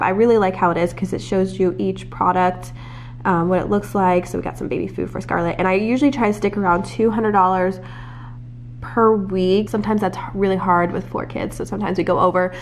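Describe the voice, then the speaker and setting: A woman's voice: medium pitch, fairly even intonation, a little fast. A young American woman and mother chatting casually to camera in a rambling, self-correcting way, sounding stuffy and congested from a cold. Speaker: family vlogger, mother of four. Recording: echoey room with faint noise.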